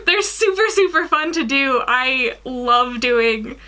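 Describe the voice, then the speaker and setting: A woman's voice: high-pitched, animated, slow, bright, forceful tone. A young woman co-hosting in casual, informal chat, giggly in manner. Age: young adult. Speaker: co-host, guest illustrator. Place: small indoor room at home.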